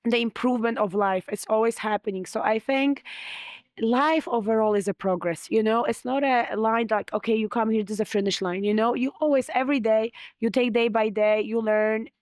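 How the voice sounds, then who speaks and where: Polish woman guest on a chat show, kinda high voice, dry close sound, lots of "you know". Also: a little fast, darkish tone, no echo. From Poland. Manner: frequent "you know" fillers, earnest. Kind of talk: casual interview chat.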